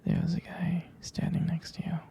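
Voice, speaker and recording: A man performing an intimate ASMR boyfriend roleplay as a protective, affectionate partner, speaking in a hushed, hesitant, tender murmur. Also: completely flat delivery, normal speed. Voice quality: breathy, gravelly low murmur.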